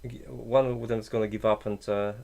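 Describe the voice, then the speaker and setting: Gravelly man muttering while he tinkers. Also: fairly low pitch, level tone, moderate pace, darkish tone. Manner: thinks aloud, muttering, slightly broken English. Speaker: maker streamer. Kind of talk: casual live-stream chat while building.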